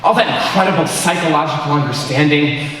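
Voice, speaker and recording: Young man acting eager, high-pitched awkward suitor, echoing. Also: a little fast, fairly bright tone, far from the mic, close to an everyday voice. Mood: enthusiastic. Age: teenager or young adult high school student. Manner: projected, eager stage delivery. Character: Bill, eager, awkward young man making small talk. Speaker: student actor in a high school one-act play. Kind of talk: stage comedy acting. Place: high school auditorium stage. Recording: echoey auditorium recorded from afar, noisy.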